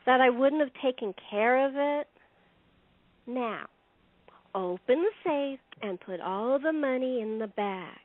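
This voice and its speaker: A woman's voice: sits high, animated, measured pace, no room sound. A woman playing a cunning, taunting con artist and blackmailer in an audio play, with a theatrical, gloating delivery. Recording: thin phone-like line.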